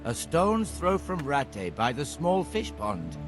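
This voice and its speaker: An actor playing a crotchety, weary old record keeper in a video game, grumbling in a patronizing way. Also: male voice, on the high side, sing-song, measured pace, music in the background. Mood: fond, softened. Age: older. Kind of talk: video game cutscene acting.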